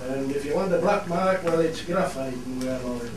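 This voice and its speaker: A man's voice: fairly high pitch, moderate pace, close to an everyday voice. A man playing a plain-spoken Cumbrian fell shepherd who explains things in a radio play, recorded in an echoey room. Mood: explanatory. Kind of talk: scripted radio play monologue in dialect. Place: theatre studio. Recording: echoey hall, distant mic, clear noise.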